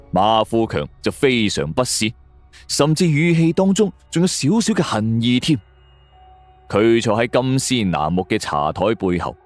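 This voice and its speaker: A man's voice: medium pitch, a little mellow, no room sound. A man with a Hong Kong Cantonese accent narrating a detective story dramatically in the first person. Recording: background music under voice. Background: music.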